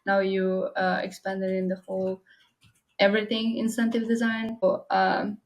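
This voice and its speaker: A woman's voice: medium pitch, monotone, moderate pace. A woman co-hosting a casual podcast interview, asking questions hesitantly with fillers and restarts. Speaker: podcast co-host.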